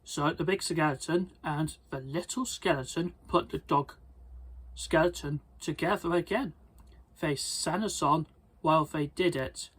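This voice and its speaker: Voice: medium pitch, a little slow, slightly rough. A person reading a children's story aloud, often stumbling over words and repeating them. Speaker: storybook reader.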